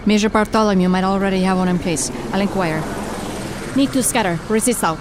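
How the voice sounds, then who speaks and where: An actress playing a brisk, official night patrol agent of a resistance in a naturalistic radio drama. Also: female voice, mid-range voice, noisy background, lightly acted. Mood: clipped, official.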